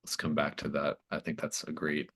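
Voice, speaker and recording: A man running a tabletop horror game, improvising descriptive narration in a halting, thoughtful manner. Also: rumbling low, completely flat delivery, fairly bright tone. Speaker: game master.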